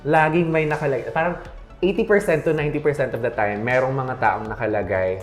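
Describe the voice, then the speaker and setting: A man's voice: mid-range voice, fast talker, soft background music. Filipino man, echoey room. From Philippines. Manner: animated, frequent fillers, rising emphatic questions. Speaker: podcast guest. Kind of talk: casual chat, switching between Tagalog and English.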